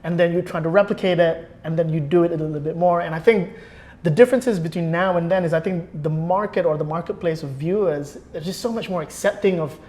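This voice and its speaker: A man's voice: on the high side, fairly quick, a little mellow. A man speaking as an interview guest, giving articulate, explanatory answers in a conversational style.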